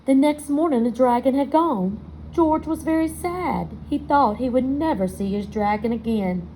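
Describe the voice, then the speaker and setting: A woman reading a picture book aloud to children, expressive in her storytelling and chatting to her young listeners with questions. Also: high-pitched, normal speed, slight background noise, low-quality recording. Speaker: storybook reader.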